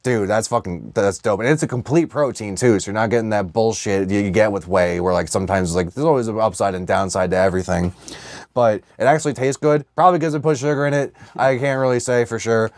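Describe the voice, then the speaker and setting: A man's voice: lowish voice, brisk, a little mellow, dry recording. A man co-hosting a podcast in casual comedic chat, rambling with heavy slang and swearing into a close microphone. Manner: heavily profane, slangy, rambling. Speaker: podcast co-host.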